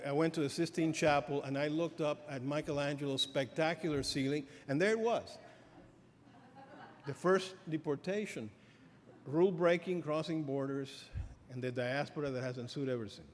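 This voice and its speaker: A middle-aged man giving an academic lecture as a professor, halting with many fillers and restarts. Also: fairly high pitch. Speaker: professor giving a keynote address. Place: lecture hall. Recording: reverberant hall, faint ambience.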